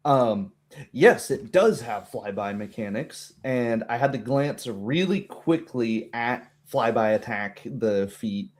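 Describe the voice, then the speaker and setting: A man talking casually as a game master about a tabletop roleplaying session, in an animated, rambling way. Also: mid-range voice, slow pace.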